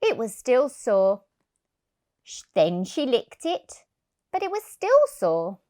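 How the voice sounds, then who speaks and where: A woman reading a picture book to young children, expressive and deliberate, stressing key words. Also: fairly high pitch. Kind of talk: storybook narration for young children.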